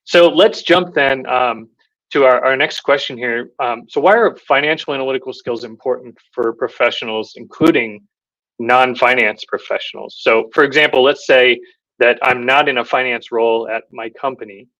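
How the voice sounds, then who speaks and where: A man in an interview host role, recapping his guest's points in a measured voice of middling pitch. His speech is thoughtful and dotted with ums, and the audio sounds slightly dull and lo-fi. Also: fairly bright tone. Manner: thoughtful, many filler ums. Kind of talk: interview moderating, summarizing.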